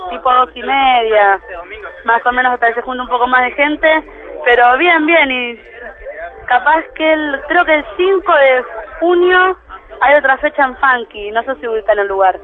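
A man's voice: extremely high pitch, normal speed. A laid-back man with a Buenos Aires accent, a band musician chatting colloquially in a phone interview. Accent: Buenos Aires Argentine. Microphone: telephone. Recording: tinny, band-limited phone line.

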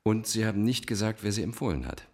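An actor playing a controlled, manipulative psychiatrist, probing and commanding, in a radio thriller. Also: male voice, fairly low pitch, animated, fast. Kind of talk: German radio thriller acting.

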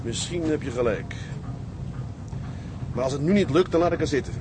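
An actor playing a sensible, weary tugboat captain, calming and matter-of-fact, in a radio drama. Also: male voice, on the high side, moderate pace, noisy background, lightly acted. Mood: resigned. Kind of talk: Dutch radio drama acting.

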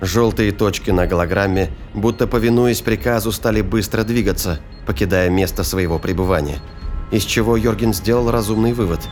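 A man narrating a scripted science-fiction thriller in a measured, formal storytelling manner. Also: on the low side, darkish tone, soft background music.

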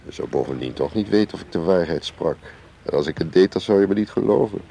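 An actor playing a suave, cagey foreign spy in a radio play, teasing and unhurried, with an ironic way of talking. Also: male voice, sits low, warm tone. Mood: cool, evasive. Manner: ironic, leisurely, ends remarks with questioning tags. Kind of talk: radio drama acting. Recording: clearly hissy old radio play recording.

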